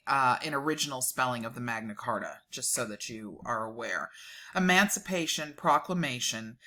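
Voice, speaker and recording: Female host, deep register, crisp bright tone, dramatic document reading broken by informal commentary.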